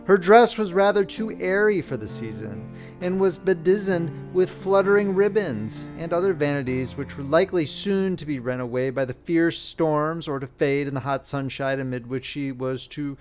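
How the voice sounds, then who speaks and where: A man reading a literary story as an audiobook narrator, with theatrical, expressive phrasing. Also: on the high side, moderate pace, music in the background.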